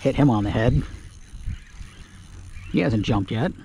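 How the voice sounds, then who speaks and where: A man casually talking through a fishing trip from his kayak on a creek, relaxed and full of filler words, recorded on an action camera outdoors. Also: medium pitch, a little slow, creaky, rough recording. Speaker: fishing guide. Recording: outdoor camera mic, audible background noise.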